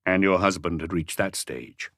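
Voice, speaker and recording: Man playing a calm, probing police inspector. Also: sits low, no room sound, clean studio sound, voice pushed down. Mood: measured. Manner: measured, probing, unhurried questioning.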